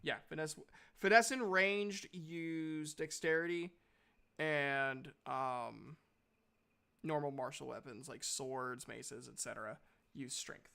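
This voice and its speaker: A man running a tabletop game as game master, explaining rules in an informal, halting way. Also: on the high side, gravelly, bright, forceful tone.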